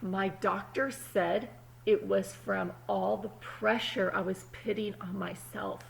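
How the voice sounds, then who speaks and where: A woman voicing an earnest, dutiful little egg in a children's storybook, telling its own tale with drawn-out emphasis. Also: medium pitch, steady tone, gravelly, slight background noise, rough recording. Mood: strained. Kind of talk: first-person children's storybook narration.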